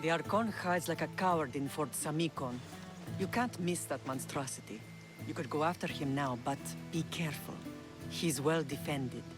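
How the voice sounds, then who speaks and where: A woman's voice: sits low, normal speed, music in the background, lightly acted. A woman playing a fierce, unforgiving middle-aged warrior mother in a video game, firm and resolute. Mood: steely. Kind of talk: dramatic video game acting.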